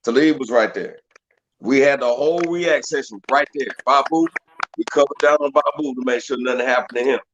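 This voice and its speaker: A man's voice: mid-range voice, a little fast, a bit bright, muffled recording. Man speaking like a drill instructor. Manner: drill-instructor tone, lists training terms. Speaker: guest. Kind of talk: casual chat. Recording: noisy call audio.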